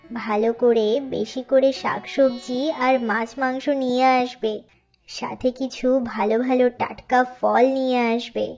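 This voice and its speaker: A woman's voice: a little high, steady tone, unhurried, a little mellow, lo-fi sound, soft background music. A woman voicing a bustling village mother-in-law giving household orders in a cartoon. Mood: bossy, busy. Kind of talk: Bengali cartoon voice acting.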